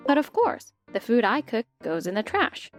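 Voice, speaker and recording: A polite, put-upon stepmother played by a woman narrates her troubles in a manga dub. Her voice sits fairly high and expressive, with soft music underneath. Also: normal speed. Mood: narrating, troubled. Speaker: narrator and lead character. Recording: music bed behind the voice.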